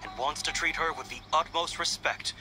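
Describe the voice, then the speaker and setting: A man voicing a polite guard officer from a game, formal and reassuring, with a somewhat high voice. Game music plays clearly behind him. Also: moderate pace, natural voice.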